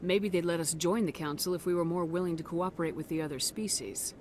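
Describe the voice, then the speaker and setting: A woman's voice: on the low side, fast, darkish tone, slight background noise, close to an everyday voice. A woman voicing a confident, principled military commander in a video game, direct and probing. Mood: direct, composed.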